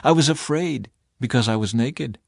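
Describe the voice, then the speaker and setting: A man's voice: medium pitch, normal speed, creaky, darkish tone, no echo, studio quality. A man voicing the first man of scripture in an audiobook, earnest and emotional. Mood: fearful, defensive.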